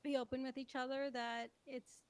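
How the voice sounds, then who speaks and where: Young female guest, fairly high voice with little pitch movement, casual interview delivery. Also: little pitch movement, moderate pace. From Toronto, Canada. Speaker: interview guest, country singer-songwriter.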